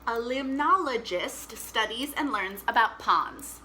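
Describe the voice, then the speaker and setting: A woman's voice: fairly high pitch, lively intonation, takes it slowly, bright, forceful tone, slight background noise, heavily acted, rough recording. A woman voicing a patient, encouraging mother who explains things to her child in a picture book read-aloud. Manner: instructive, encouraging.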